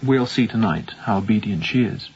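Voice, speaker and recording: An actor playing an anxious young ship's captain in a radio drama, speaking in a hushed, urgent, secretive manner. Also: male voice, lowish voice, lively intonation, normal speed. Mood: quietly earnest. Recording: dull muffled audio with background noise.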